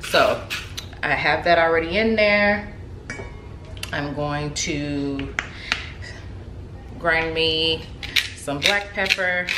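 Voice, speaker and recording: Woman in her 30s, low unhurried voice, chatty home-cook vlogger, echoey kitchen with a TV in the background. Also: noisy background. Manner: chatty and relaxed, many ums and likes. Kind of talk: casual cooking demonstration. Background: TV playing in the background.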